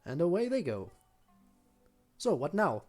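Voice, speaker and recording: A woman's voice: lowish voice, very animated, measured pace, mellow. A woman game streamer giving casual live commentary with offhand, playful asides. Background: game music.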